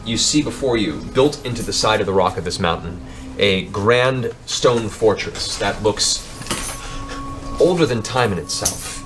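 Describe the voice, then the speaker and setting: A man's voice: on the low side, fairly bright tone. A man working as game master, improvising descriptive, dramatic fantasy narration for a tabletop game.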